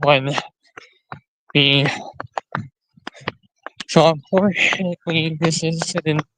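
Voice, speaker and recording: A man hosting a casual livestream, talking to viewers in a rambling, halting way, often repeating and restarting his phrases. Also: on the high side, steady tone, a bit bright, slight background noise, low-quality recording. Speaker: live stream host.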